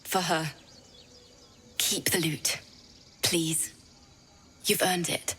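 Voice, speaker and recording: A woman playing a weary, grateful adventurer in a fantasy game, speaking solemnly and haltingly. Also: deep voice, steady tone, bright, forceful tone, breathy edge, full character voice. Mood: resolute, weary.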